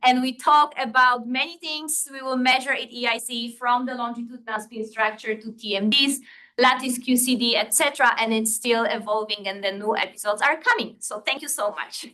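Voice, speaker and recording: A woman with a Polish accent gives a physics lecture to students, speaking eagerly and often stopping to restart her sentences. Her voice sits a little high and rings very bright. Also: moderate pace. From Poland. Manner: enthusiastic, many fillers and restarts. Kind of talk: academic lecture. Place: lecture hall.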